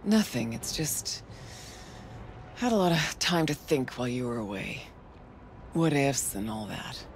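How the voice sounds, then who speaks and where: Wry, wistful tough veteran fixer. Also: female voice, very deep voice, big pitch swings, measured pace, pauses often, slight background noise, full character voice. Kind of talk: video game voice acting.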